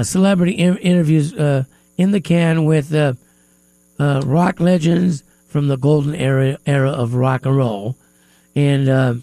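A man hosting a live music talk radio show in a studio, sounding stuffy-nosed and pausing often with fillers. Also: a little high, vocal fry, warm tone, no room sound. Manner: hesitant, many filler pauses. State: stuffy nose. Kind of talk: casual talk radio chat.

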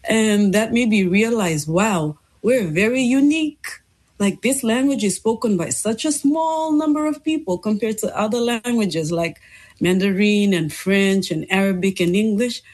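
A woman's voice: medium pitch, measured pace, a little mellow. A young woman from Fiji, an English teacher, answering interview questions thoughtfully with frequent ums and restarts.

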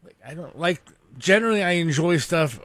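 Insistent, lively-pitched male radio co-host. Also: a little fast, no room sound, clean studio sound. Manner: insistent, joking, emphatic. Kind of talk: casual talk radio banter. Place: radio studio.

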